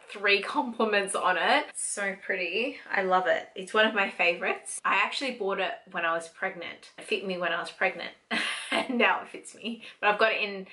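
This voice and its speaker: A woman's voice: medium pitch, pushed and bright. A woman in her thirties with an Australian accent, chatting casually to the camera in a family haul vlog, gushing and rambling as she justifies her purchases. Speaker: family vlogger. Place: bright home room indoors.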